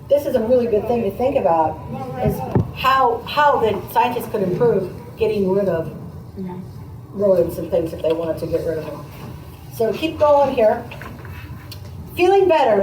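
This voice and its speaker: A woman teacher reading aloud to children, pausing to explain and ask questions in a conversational way. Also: on the high side, animated, darkish tone. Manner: explanatory, prompting listeners with questions. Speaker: teacher demonstrating a read-aloud. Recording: roomy, distant mic, noisy room.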